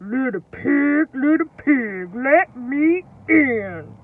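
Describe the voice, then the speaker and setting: A woman voicing the big bad wolf, a menacing storybook villain, with drawn-out, booming threats. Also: animated, distant mic, heavily acted. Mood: demanding. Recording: roomy, thin lo-fi home recording.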